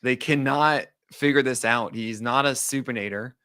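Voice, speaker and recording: A man with a bright, forceful voice hosts a casual podcast chat. He breaks down pitching numbers quickly and drops jokey asides. The recording is dry and close. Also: smooth, no room sound. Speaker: podcast host. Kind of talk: casual baseball analysis chat.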